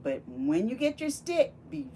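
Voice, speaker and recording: A woman reading a picture book aloud to young children, expressive and full of wonder, pausing to ask them questions. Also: high-pitched, slight background noise, low-quality recording. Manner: animated, exclaiming with gasps and wonder, addressing young children. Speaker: volunteer children's read-aloud storyteller.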